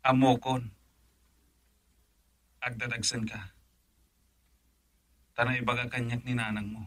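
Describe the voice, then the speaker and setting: An actor as a bright-voiced, eager, teasing man. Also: mid-range voice, little pitch movement, measured pace, close to an everyday voice. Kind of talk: radio drama acting.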